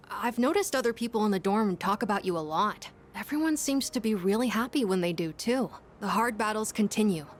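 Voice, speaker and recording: A woman playing an earnest, gentle young schoolboy in a video game, speaking politely and thoughtfully with some hesitation. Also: mid-range voice, lightly acted. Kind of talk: English video game voice acting.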